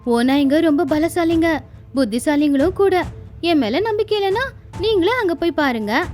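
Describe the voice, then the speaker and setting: A sly, teasing cartoon nanny goat, voiced by a woman. The voice is very high and full of cheek and cunning, with music playing behind it. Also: expressive, normal speed, a little mellow. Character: mischievous, cunning, taunting female goat. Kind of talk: animated animal fable dialogue.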